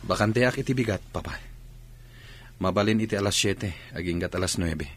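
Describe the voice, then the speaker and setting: A man acts an obedient, reluctant student son in a radio play. He has a low, raspy voice with a Filipino accent. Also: fairly quick, no room sound. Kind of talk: Filipino radio drama acting.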